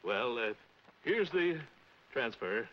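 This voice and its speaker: An actor playing a pompous, scheming middle-aged neighbor in a 1940s comedy, stammering and unctuous. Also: male voice, mid-range voice, very animated, takes it slowly. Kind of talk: 1940s radio-style film comedy acting. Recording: muffled, hissy 1940s film soundtrack.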